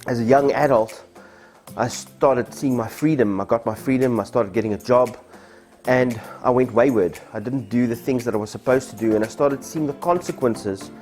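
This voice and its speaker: A South African man in his forties, a native Afrikaans speaker, telling his church testimony in a candid, conversational way with frequent fillers. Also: mid-range voice. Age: 40s. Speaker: church member giving a testimony. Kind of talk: personal testimony. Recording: soft music behind.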